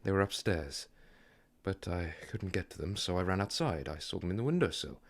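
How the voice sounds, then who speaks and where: A man's voice: low voice, lively intonation, a little fast. A man acting as an anguished, bewildered widower in an audio drama, earnest and dramatic in delivery. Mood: grieving. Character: anguished, guilt-ridden widower, emotional and bewildered.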